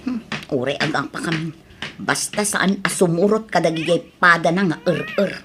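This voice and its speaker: A woman's voice: fairly low pitch, moderate pace, over music, natural voice. Woman acting a stern, nagging Ilocano wife. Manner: scolding, insistent. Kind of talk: Ilocano audio drama acting.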